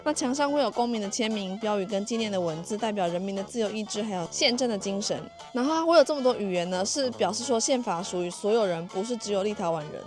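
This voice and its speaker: A woman narrates a travel vlog in a medium-pitched voice, explaining history and background. Light music plays beneath her informative delivery. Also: lively intonation, fast talker, hardly any pauses.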